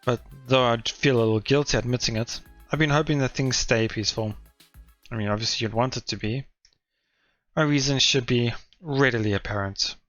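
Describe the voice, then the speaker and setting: A man's voice: medium pitch, brisk, fairly bright tone, highs cut off, soft background music, lightly acted. A man reading aloud as a wry, self-deprecating teenage schoolboy narrating his own story from a visual novel, in a dry manner.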